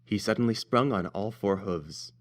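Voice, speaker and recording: Low-voiced man narrating a story. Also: normal speed. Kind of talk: scripted fan-fiction story narration.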